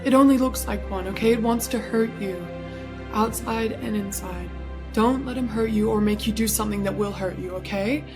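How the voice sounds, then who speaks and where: A woman's voice: medium pitch, moderate pace, over music, natural voice. A woman playing an anxious, protective mother pleading with her young son in a film script table read. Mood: urgent, pleading.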